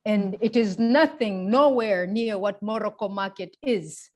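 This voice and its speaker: A woman with a Tanzanian accent, speaking in a measured, deliberate, explanatory way in a reflective interview. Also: mid-range voice. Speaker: interviewee, feminist public intellectual. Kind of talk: reflective oral history interview.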